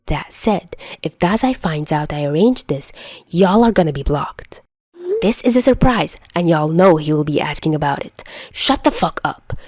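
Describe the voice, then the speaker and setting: A woman's voice: deep voice, darkish tone, no echo. A woman voicing a brash, irritable young man who talks in blunt, bossy slang in a read-aloud story.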